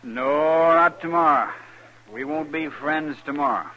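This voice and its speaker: A man's voice: on the high side, measured pace, natural voice. An American actor playing a bitter, hot-headed young man out for revenge in a 1950s radio western. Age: young adult. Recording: dull old radio recording.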